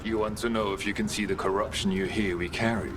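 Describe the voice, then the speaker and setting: Man playing a low, solemn dwarf guide. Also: steady tone, noisy background, lightly acted. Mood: solemn, grave. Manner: formal, measured, cryptic phrasing. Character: solemn, guarded male dwarf guide of an underground city. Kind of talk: video game voice acting. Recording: music behind the voice. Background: game soundtrack music.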